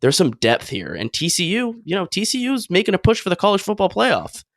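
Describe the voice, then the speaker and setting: A man's voice: high voice, wildly swooping pitch, fairly quick, creaky, a bit bright, no room sound, studio quality. A man co-hosting a casual sports podcast, laying out his points in an upbeat, optimistic way. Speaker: podcast co-host.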